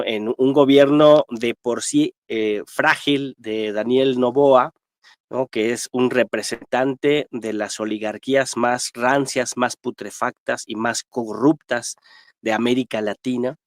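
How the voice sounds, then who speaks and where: A man's voice: mid-range voice, dry recording, studio quality. A man with a Mexican accent giving spontaneous political commentary as a guest analyst, emphatic and militant, often adding tag questions.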